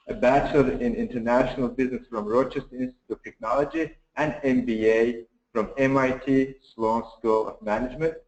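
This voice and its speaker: A man's voice: mid-range voice, a little mellow. Guy reading a formal speaker intro at a seminar, echoey and kinda muffled. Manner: formal, slightly halting reading. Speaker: event host introducing a speaker. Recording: echoey, dull room recording.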